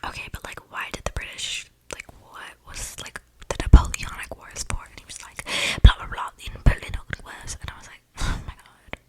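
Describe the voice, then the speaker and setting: A woman playing an eccentric, flirty female vampire in a whispered ASMR role-play, rambling casually in breathy slang with audible breaths close to the mic. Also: moderate pace, few pauses, close to an everyday voice, poor audio. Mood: amused exasperation. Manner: slangy, gossipy, conspiratorial, rambling. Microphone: close ASMR microphone.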